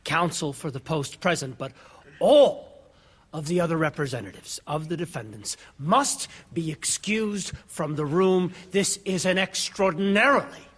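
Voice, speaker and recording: An actor playing a grave government attorney who insists on secrecy and stresses every word. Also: male voice, a little high, a little slow, fairly bright tone, breathy edge. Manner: grave, emphatic about secrecy. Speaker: government lawyer.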